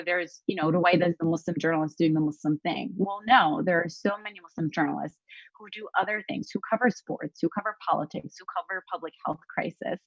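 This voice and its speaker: A woman's voice: low voice, breathy edge, no echo. A woman journalist, speaking earnestly and reflectively in a panel discussion, with frequent fillers and restarts. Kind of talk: panel discussion on a live stream. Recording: dull lo-fi audio, dry.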